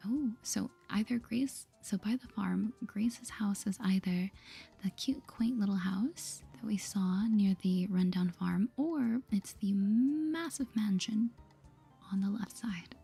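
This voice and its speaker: A woman whispering soft-spoken ASMR commentary over a video game, chatty and gentle, reading dialogue aloud in a breathy voice. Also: mid-range voice, takes it slowly. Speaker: gaming video host. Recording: soft music behind the voice.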